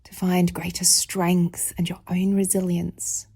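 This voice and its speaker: A woman guiding a yoga nidra meditation, speaking softly and soothingly in a breathy voice with long pauses between phrases. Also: fairly low pitch, monotone, no echo, clean studio sound. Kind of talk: guided meditation narration.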